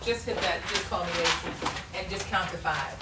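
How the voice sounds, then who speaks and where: A woman's voice: lowish voice, normal speed. A woman hosting and directing a radio-style show, speaking to listeners and cast. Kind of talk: radio show sign-off and casual studio chat. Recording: echoey, distant mic, clear noise.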